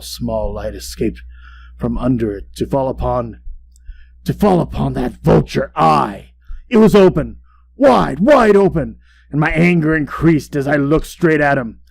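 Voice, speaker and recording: A man playing an obsessive, boastful mad murderer who narrates a gothic horror tale in a dramatic, deliberate way. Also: mid-range voice. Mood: creeping menace. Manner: dramatic, deliberate, swinging between hush and outbursts. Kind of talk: theatrical gothic horror storytelling. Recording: clear background hum and noise.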